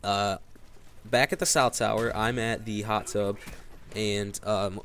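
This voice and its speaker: Low-voiced young man rambling through a story. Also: fairly even intonation, slow pace, a bit bright, slight background noise. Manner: rambling, with frequent fillers and restarts. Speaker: vlogger. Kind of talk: casual storytelling to camera.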